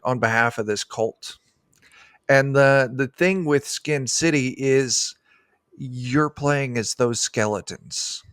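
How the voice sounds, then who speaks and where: A middle-aged man explaining things casually and haltingly as an interview guest, speaking into a desk microphone. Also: medium pitch, no room sound, studio quality. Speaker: guest, tabletop game designer. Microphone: desk microphone on a video call.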